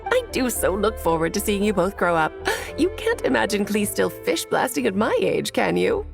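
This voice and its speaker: Playful, creaky-voiced doting witch mother. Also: mid-range voice, very animated, normal speed, over music. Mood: fond, teasing. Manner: teasing, cutesy, giggly. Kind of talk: video game cutscene acting.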